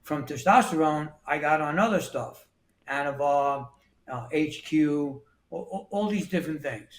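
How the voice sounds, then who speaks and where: A man's voice: fairly high pitch, unhurried. Echoey-sounding man in his sixties from New York. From New York City. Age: 60s. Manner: plain-spoken, repeats words and restarts often. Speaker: guest. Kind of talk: casual interview talk.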